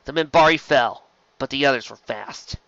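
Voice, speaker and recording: A man narrates a story in a bright, forceful voice. He reads scripted prose dramatically. The recording is very dry and a little dull. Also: medium pitch, moderate pace, no echo. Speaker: reader of fan fiction.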